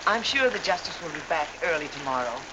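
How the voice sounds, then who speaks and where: An actress playing a chatty, practical small-town justice's wife, matter-of-fact and helpful, in 1940s film style. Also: female voice, deep voice, very animated, quick pace. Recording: very noisy old film, heavy rain.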